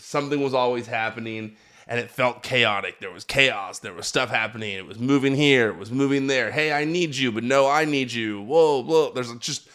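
A man hosting a wrestling podcast, talking in an energetic, hyped-up, chatty way while recovering from being sick. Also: mid-range voice. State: recovering from illness. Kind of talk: casual podcast recap.

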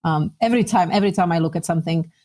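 A middle-aged woman from the Czech Republic talks as an interview guest in a conversational, personal way. Her English carries a Czech accent. The voice sits slightly low, with a soft, dark, relaxed tone. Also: racing.

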